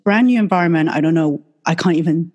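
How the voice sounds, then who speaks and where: Soft-pitched middle-aged woman, Mandarin native. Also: fast, dull audio. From Taiwan. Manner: friendly, reflective, with fillers. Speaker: guest, medical doctor. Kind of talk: casual podcast interview.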